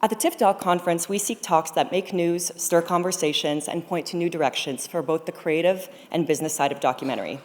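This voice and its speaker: A woman's voice: on the low side, a little fast, few pauses. A woman hosting a conference, delivering a scripted welcome speech to open the event. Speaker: conference co-programmer, host introducing event. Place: large studio auditorium, on stage. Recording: echoey hall with long reverb.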